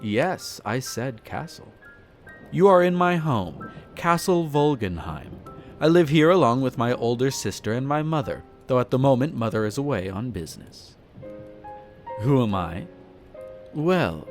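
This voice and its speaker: A man playing a suave, flirtatious aristocratic vampire who talks to the listener with amused, haughty condescension in a roleplay audio drama. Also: medium pitch. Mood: flirtatious, reassuring. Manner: theatrical, leisurely storytelling with teasing, haughty, formal wording.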